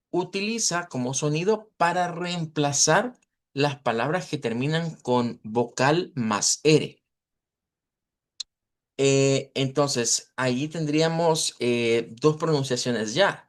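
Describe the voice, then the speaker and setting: A man with a Latin American Spanish accent giving an informal language lesson, explaining pronunciation deliberately and often checking understanding with short tag questions. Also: on the high side. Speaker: language teacher.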